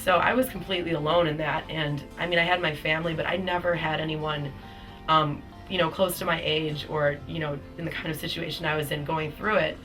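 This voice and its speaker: A woman's voice: sits low, flat delivery, a little fast, fairly bright tone, far from the mic, faint music behind, rough recording. A woman in her twenties telling her own cancer story in a casual, chatty way, with many fillers. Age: 20s. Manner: chatty, with frequent fillers and small laughs. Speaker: cancer survivor giving a testimonial. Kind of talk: casual personal testimonial.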